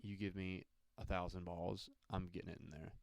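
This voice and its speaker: A man from Mississippi co-hosting a podcast, chatting casually in a relaxed, rambling way and laughing often. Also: deep voice, moderate pace, gravelly, dry recording. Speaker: podcast co-host. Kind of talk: casual chat.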